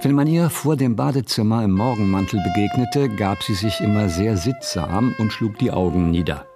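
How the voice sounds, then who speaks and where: Schauspieler als tiefer, trockener Erzähler mit Musik. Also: male voice, on the low side, natural voice. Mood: wry, descriptive. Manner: measured, dry, literary. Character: observant, wry male narrator of a literary memoir. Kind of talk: scripted narration in radio drama. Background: music.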